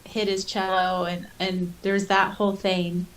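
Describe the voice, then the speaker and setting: A woman's voice: medium pitch, little pitch movement, a little slow, rough recording. A woman co-hosting a casual fan podcast, chatting and reading listener comments aloud. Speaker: podcast co-host.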